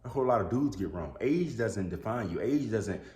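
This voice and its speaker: A man's voice: fairly low pitch, lively intonation, normal speed, darkish tone, roomy sound. A man, talking earnestly to camera indoors, repeating "bro", a little sick with sneezes. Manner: earnest and preachy, repeats "you know what I'm saying" and "bro". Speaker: YouTuber. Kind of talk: casual talk to camera.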